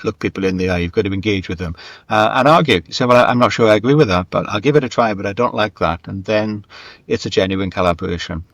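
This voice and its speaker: A man's voice: lowish voice, fast talker, dry recording. A mature man telling anecdotes conversationally as an interview guest, acting out bits of dialogue and repeating words for emphasis, on slightly muffled audio. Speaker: writer and script editor interviewed as guest.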